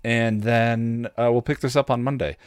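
A man's voice: mid-range voice, steady tone, moderate pace, clear, resonant tone, no room sound, clean studio sound. A man hosting a podcast, reading fan fiction and chatting casually. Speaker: podcast host and reader.

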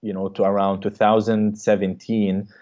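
A man in his thirties, originally from Egypt, answering interview questions as a cardiologist and startup co-founder, with a halting manner full of fillers. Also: fairly low pitch, flat delivery, darkish tone. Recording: dull lo-fi sound.

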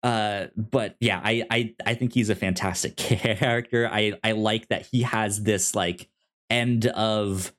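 A man's voice: on the low side, fairly even intonation, a bit bright. A man co-hosting a podcast, chatting casually and enthusiastically about films, often stumbling and restarting his words. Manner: halting, stammering over words, enthusiastic. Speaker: podcast co-host. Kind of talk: casual film discussion chat.

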